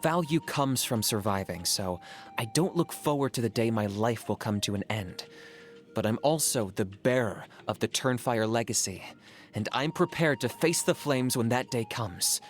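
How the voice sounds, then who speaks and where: An actor playing a calm, pragmatic young hunter-for-hire in a video game, speaking in a matter-of-fact, deadpan way. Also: male voice, medium pitch, moderate pace, lightly acted. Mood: calm resolve. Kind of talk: video game character voice lines.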